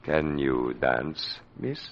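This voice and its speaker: An actor playing a mad, domineering puppeteer murderer in 1940s radio thriller style, gloating theatrically and prone to rage. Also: male voice, very deep voice, sing-song, drawn-out words, exaggerated voice. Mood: gloating menace. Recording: noisy, dull vintage radio recording.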